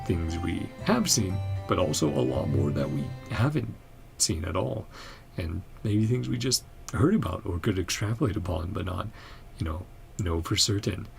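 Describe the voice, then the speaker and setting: A man with a low, creaky voice and lively intonation hosts a gaming reaction video. He talks casually and hesitantly, wandering between thoughts and often chuckling softly as he goes. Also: normal speed, faint music behind. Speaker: gaming reaction channel host. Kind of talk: casual chat, game reaction commentary.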